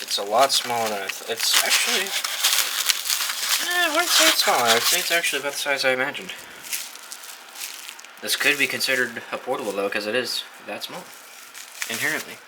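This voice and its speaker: A man's voice: mid-range voice, very noisy. A young man giving a casual, wry and offhand unboxing commentary, swearing casually as he goes. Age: young adult. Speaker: hobbyist video host.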